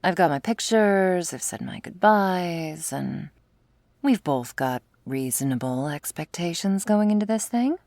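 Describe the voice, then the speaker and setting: A woman playing a sardonic, predatory vampire with a secret wistful side, in an intimate close-miked ASMR roleplay, wry and teasing, sometimes slipping into a breathy whisper. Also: fairly low pitch, animated, unhurried, darkish tone, no room sound. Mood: resigned, teasing. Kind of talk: intimate ASMR roleplay, scripted monologue to listener. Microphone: close, dry studio mic.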